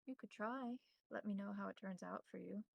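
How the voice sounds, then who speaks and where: A woman's voice: mid-range voice, fairly quick, vocal fry, a little mellow, dry recording. A woman game master narrating a tabletop roleplaying game and talking with the players.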